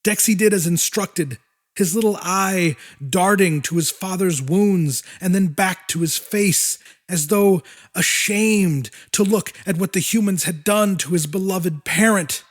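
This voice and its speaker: A man narrating a horror audiobook in a dramatic, deliberate style with weighty pauses. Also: high-pitched.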